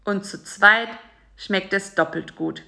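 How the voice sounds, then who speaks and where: A woman voicing a fat male spider who speaks calmly in a storybook reading. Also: mid-range voice, takes it slowly, gravelly, exaggerated voice. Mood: warm. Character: fat male spider, gruff but softening.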